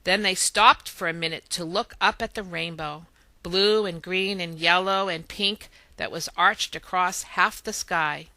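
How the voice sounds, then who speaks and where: A woman reading a children's story aloud in expressive narration. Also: lowish voice, measured pace, no echo. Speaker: storybook reader.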